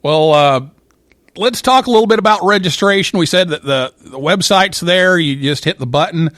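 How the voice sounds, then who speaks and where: A man hosting a live talk show, interviewing in a conversational and somewhat halting way. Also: sits high, a bit bright, no echo, clean studio sound. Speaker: host of a ham radio talk show.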